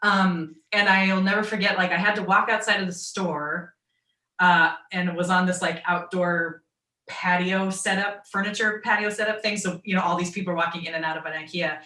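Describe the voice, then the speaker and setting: Low-voiced Midwestern woman on a video call. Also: fairly even intonation, normal speed, sharp tone, roomy sound, distant mic. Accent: American Midwestern. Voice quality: naturally low voice. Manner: chatty storytelling, quoting others in asides. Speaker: guest voice actor and writer. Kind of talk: online fan Q and A.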